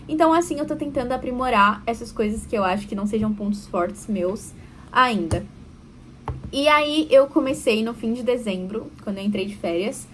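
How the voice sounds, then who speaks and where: A young Brazilian woman with a Brazilian Portuguese accent talks casually to camera, chatty and self-deprecating, often breaking into a laugh. Her voice sits a little high. A faint background noise runs under the recording. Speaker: vlogger.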